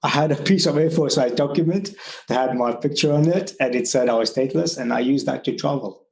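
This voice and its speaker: A man's voice: medium pitch, a little fast, creaky, a little mellow, roomy sound. A young man from Kenya with a mixed Kenyan and British accent, speaking earnestly in an interview, often restarting his sentences. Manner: earnest, many restarts and fillers. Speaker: immigration consultant. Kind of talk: conversational interview.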